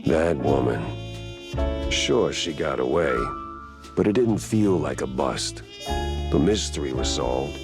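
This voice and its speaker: Deep tired wisecracking detective mouse, noir game style, dry tough talk, some music behind. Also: male voice, animated, slow, pauses often, full character voice. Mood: wistful, wry. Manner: wry, sardonic, slangy tough-guy talk. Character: world-weary, wisecracking hard-boiled male mouse private detective. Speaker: detective protagonist and narrator. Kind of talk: 1930s noir detective narration.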